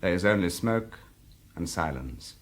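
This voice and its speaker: A man narrating an audio drama in a theatrical, expressive way, telling the story vividly in the present tense. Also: deep voice, a little slow. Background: loud music.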